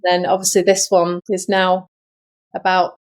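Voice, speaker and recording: A woman's voice: fairly low pitch, completely flat delivery, unhurried. A middle-aged woman with an English accent, chatting informally and recounting her experiences as an interview guest. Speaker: guest, sewing teacher. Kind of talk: casual conversational storytelling.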